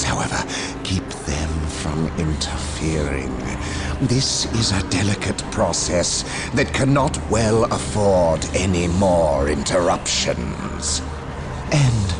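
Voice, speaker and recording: Deep, gravelly scheming sorcerer. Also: male voice, exaggerated voice. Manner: theatrical, insinuating, mock-courteous. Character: scheming, silky, menacing male sorcerer of chaos. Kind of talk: video game cutscene acting.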